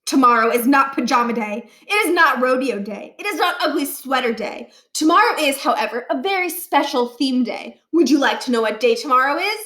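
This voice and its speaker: Storybook principal, female reader: older man, stern, pompous, formal, commanding, raised pitch, echoey room. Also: expressive, a little fast, hardly any pauses, pushed and bright. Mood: stern, pedantic. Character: stern, humourless older male school principal.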